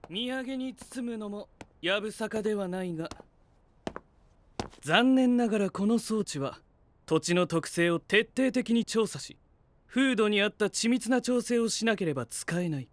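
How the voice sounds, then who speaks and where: A man's voice: high-pitched, animated, measured pace. A man playing a self-assured young scientist knight in an anime audio drama, speaking in formal, old-fashioned, lofty phrases. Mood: explanatory, smug.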